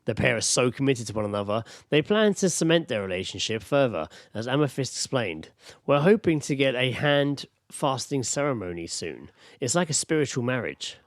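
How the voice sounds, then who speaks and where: British male podcast co-host, lively swooping intonation, amused and sweary, dry recording. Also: mid-range voice, moderate pace, no room sound. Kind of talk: casual comedy chat, reading news aloud.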